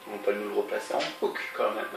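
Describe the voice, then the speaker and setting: A man's voice: lowish voice, fairly even intonation, rapid-fire, gravelly. Guy w a stuffy cold nose rambling thru a let's play, lots of ums, echoey room, game music playing. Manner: rambling, hesitant, many fillers and restarts. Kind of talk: casual gameplay commentary. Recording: roomy, distant mic, game music behind.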